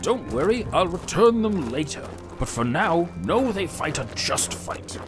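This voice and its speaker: A man's voice: sits high, sing-song, a little slow, voice pushed up. A man voicing a wry, teasing companion in a fantasy story, sardonic and mock-polite. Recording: music behind the voice.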